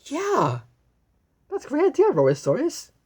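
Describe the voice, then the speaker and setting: A woman voicing an excitable, cheerful young female cartoon puppy in an eager, exclamatory way for a children's story. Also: high voice, measured pace, voice pushed up, exaggerated voice. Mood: excited.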